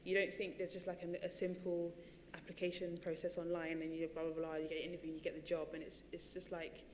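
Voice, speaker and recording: A woman's voice: on the low side, completely flat delivery, quick pace, big-room sound, slight background noise. A woman and running coach telling her story at a panel talk, chatty and full of fillers and restarts. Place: large theatre stage.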